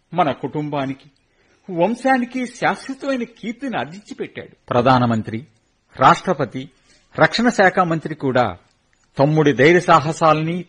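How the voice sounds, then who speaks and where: A proud elder brother and soldier in a radio drama, played by a man. He speaks in a solemn, formal way, with a husky edge. His voice sits fairly high. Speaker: actor in a radio play. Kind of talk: radio play acting.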